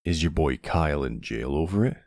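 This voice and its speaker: A man playing a protective, gruff but tender older boyfriend in an ASMR audio roleplay, unhurried and plain-spoken, with a husky, gravelly voice. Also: rumbling low, animated, a little mellow, dry recording, studio quality, exaggerated voice. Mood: stern, threatening.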